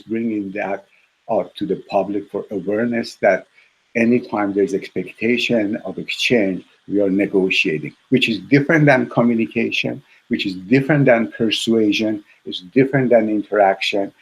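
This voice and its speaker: A man whose native language is Farsi, explaining his field as an expert in an interview, in a measured, instructive way with parallel phrases and a husky voice. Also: lowish voice, low-quality recording. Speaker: author interviewed as negotiation expert.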